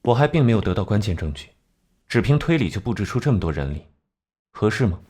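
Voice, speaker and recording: An actor playing a cool, sardonic genius detective in an animated crime drama, speaking in a measured, analytical way. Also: male voice, fairly low pitch, slight background noise. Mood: dry, sceptical. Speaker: detective laying out a case. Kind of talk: animated crime drama acting, deductive exposition. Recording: music behind.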